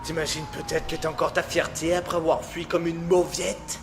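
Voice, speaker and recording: A man's voice: a little high, level tone, normal speed, natural voice. A man voicing a cruel, mocking male inner voice that taunts the hero in an amateur drama. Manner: sneering, taunting. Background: music.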